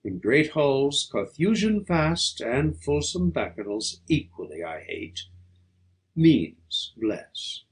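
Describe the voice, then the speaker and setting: A man recites verse for an audiobook at a slow, deliberate pace. His voice is husky and swings widely in pitch as he declaims, leaning firmly on each phrase. The delivery is dramatic and measured. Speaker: audiobook reader.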